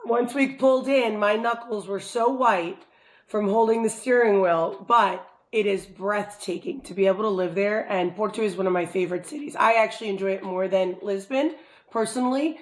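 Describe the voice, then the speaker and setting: A woman hosts a podcast interview. She talks in an enthusiastic, chatty way and keeps things friendly and lively in her words. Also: medium pitch, normal speed, rough recording. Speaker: podcast host. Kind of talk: casual interview chat.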